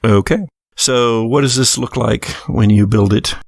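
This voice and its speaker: A man's voice: mid-range voice, fairly even intonation, unhurried, vocal fry, a little hiss or hum. A man acting as game master, explaining rules and prompting players in an unscripted tabletop game. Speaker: game master of an actual-play podcast.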